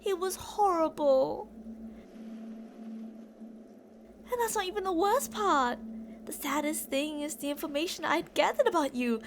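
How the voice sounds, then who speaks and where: A woman's voice: high voice, moderate pace, slight background noise. A woman playing an obsessive, delusional female kidnapper who rambles theatrically and teasingly to a captive in an ASMR roleplay. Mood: chatty, complaining.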